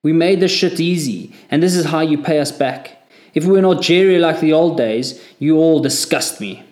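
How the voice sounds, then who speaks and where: A man's voice: on the high side, normal speed, pitched above the performer's own voice, natural voice. A man voicing a harsh, contemptuous army corporal who barks his orders with scorn, recorded in an echoey room. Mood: barking scorn. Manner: barking, scornful shouting.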